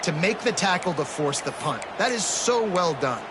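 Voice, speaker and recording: A man's voice: high-pitched, lively intonation, moderate pace. A man giving admiring, explanatory football analysis as a commentator. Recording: loud background noise.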